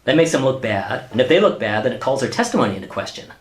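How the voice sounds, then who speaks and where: An actor playing a hesitant, exasperated government office worker and husband in a sitcom-style radio play, with a wry manner. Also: male voice, medium pitch, big pitch swings, fast talker, close to an everyday voice. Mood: irritated. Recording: roomy, echoey sound.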